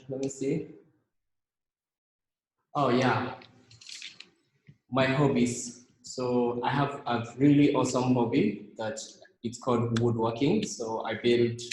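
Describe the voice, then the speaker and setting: Young Kenyan guy, slow and halting, mid-range voice, echoey room. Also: mid-range voice, a little mellow, slight background noise. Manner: halting, many fillers and restarts. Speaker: team member presenting himself. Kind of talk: casual slide presentation.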